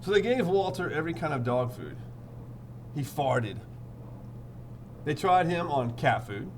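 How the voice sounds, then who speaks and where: A man reads a children's picture book in an animated, swooping voice. He breaks off for informal, playful commentary, with noticeable ambient noise in the recording. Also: fairly high pitch, a little slow. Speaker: read-aloud storyteller. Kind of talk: picture book read-aloud with casual asides.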